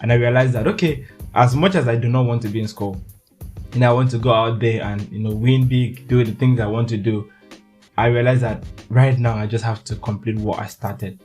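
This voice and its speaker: Casting: young Nigerian man, mid-pitched voice, chatty solo podcast host, faint music underneath. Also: steady tone, brisk, a little mellow. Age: young adult. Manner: chatty, laughs often, restarts words.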